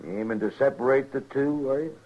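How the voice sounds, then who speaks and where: Actor as blunt, stubborn rancher, muffled radio. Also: male voice, mid-range voice, a little fast, lightly acted. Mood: unyielding. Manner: blunt, unyielding, boastful. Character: stubborn, land-hungry rancher, proud hard man. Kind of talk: old-time radio western drama acting. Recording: dull old radio recording.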